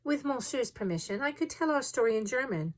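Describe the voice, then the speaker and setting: A calm and deferential manservant in an adventure storybook, read by a woman. He speaks formally and politely, with an unruffled, philosophical temper. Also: high-pitched, a little fast, pitched above the performer's own voice.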